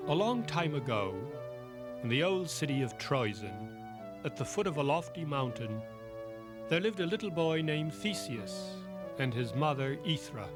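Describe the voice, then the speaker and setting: A man narrating a children's story as a storyteller, in a measured, theatrical manner. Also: a little high, big pitch swings, loud music behind the voice.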